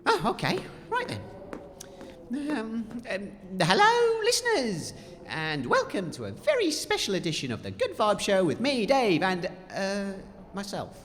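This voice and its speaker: A man playing an excitable, easily frightened radio presenter in a comedy audio drama, stammering and panicky. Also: high-pitched, a little slow, a little hiss or hum, exaggerated voice. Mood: nervous, presenting. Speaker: radio show host.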